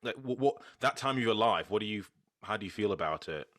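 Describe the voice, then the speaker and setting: Deep-voiced host, bright and dry. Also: male voice, lively intonation, brisk, no room sound. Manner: chatty, searching for words, repeats himself. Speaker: podcast host. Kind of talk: casual interview.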